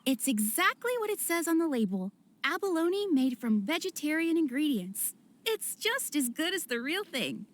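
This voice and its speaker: A woman's voice: big pitch swings, moderate pace, slight background noise. A woman voicing a polite, cheerful young inn waitress in a video game, with an eager, courteous patter. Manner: courteous, sales-pitch enthusiasm.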